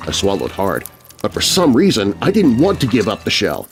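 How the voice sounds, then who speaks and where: Expressive man narrating a scary story, animated pitch. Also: moderate pace, soft background music. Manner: expressive, suspenseful. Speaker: narrator, voice artist. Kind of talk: scripted dramatic narration of scary children's story.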